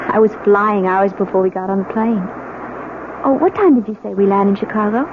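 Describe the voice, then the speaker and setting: A woman's voice: medium pitch, a little fast, lightly acted. An American woman playing a happy, devoted young bride in a 1970s radio drama, eager and gushing. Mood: blissful. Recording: noisy, tinny 1970s radio recording.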